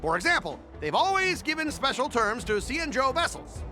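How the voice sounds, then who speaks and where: Un impiegato di commercio spaccone, voce squillante. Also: male voice, very high voice, sing-song, normal speed, sharp tone, soft background music, heavily acted. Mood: flustered. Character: pushy male cargo company worker. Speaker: company worker character. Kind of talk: game voice acting.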